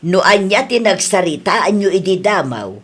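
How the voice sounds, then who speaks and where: A woman with an Ilocano accent playing a nagging, blunt older aunt in a comedy radio drama, scolding and expressive. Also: mid-range voice, moderate pace.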